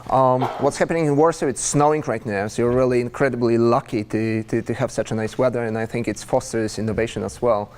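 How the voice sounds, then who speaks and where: A man from Poland, mid-pitched, opens his talk at a speaker series. He speaks in an easy, relaxed way with a few light jokes and the odd filler. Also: quick pace, few pauses, a little hiss or hum. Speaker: startup investor. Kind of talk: conference presentation.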